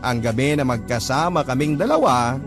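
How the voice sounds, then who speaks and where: A man's voice: medium pitch, sing-song, moderate pace. A man narrating a love story in the first person, in a confiding way, speaking directly to the host. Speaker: narrator reading a listener's letter. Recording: clear music bed behind narration.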